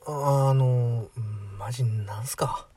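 A man playing a bewildered, irritated high school boy in a radio drama, blunt and incredulous. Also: fairly even intonation, takes it slowly, warm tone, no room sound, recorded from a distance. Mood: sceptical, annoyed. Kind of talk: amateur radio drama acting.

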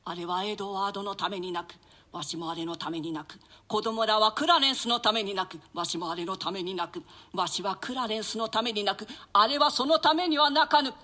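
Man playing a sharp-voiced, high, lamenting old noblewoman. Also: brisk. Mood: grieving, wailing. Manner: archaic, wailing, declamatory. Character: grieving elderly royal mother, proud and lamenting. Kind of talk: theatrical classical Japanese play reading.

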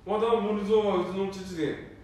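A man playing a gruff middle-aged son with a Nanbu dialect in an amateur radio drama. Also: high-pitched, flat delivery, normal speed, a little mellow, close to an everyday voice. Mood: flustered. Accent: Nanbu dialect, northeastern Japan. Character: middle-aged eldest son, gruff, embarrassed by his father. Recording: very echoey, distant, faint noise.

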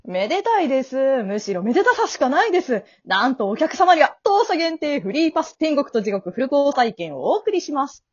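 A slightly muffled radio drama recording of a chirpy grim reaper, acted by a woman, pitching the afterlife like a polite salesperson. The delivery swings widely in pitch and stays breezy about death. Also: sing-song, a little fast, few pauses, no echo. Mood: cheerful, breezy. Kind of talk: amateur radio drama acting.

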